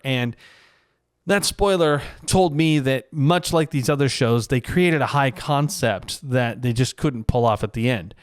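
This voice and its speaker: A man's voice: mid-range voice, clear, resonant tone, dry recording, clean studio sound. A man talking casually and conversationally as a vlogger, giving his opinions in a self-deprecating monologue.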